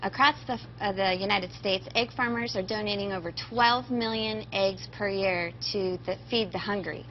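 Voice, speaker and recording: A woman's voice: medium pitch, normal speed, few pauses. A woman in her forties, an egg farmer explaining things to children, recorded in a farm shed with noise behind. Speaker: egg farmer, interview guest. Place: semi-open metal farm shed. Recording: dull audio with background noise.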